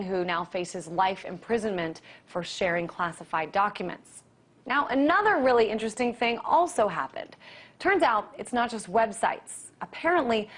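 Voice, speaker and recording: A woman with an American accent, working as a news anchor, presenting reports and putting questions to a guest in broadcast style. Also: mid-range voice. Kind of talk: broadcast news delivery and live interviewing.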